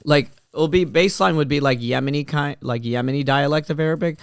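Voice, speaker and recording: A man's voice: on the high side, a little fast, gravelly, smooth, no echo. A man hosting a casual comedy podcast chat, joking loosely and rambling with many restarts.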